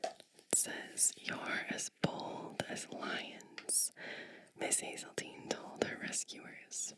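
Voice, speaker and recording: A woman whispers a children's storybook in an ASMR style. Her breathy whisper is bright and airy, and she takes it very slowly, lingering gently on phrases and slipping in soft asides about the pages. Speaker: ASMR channel host reading a picture book.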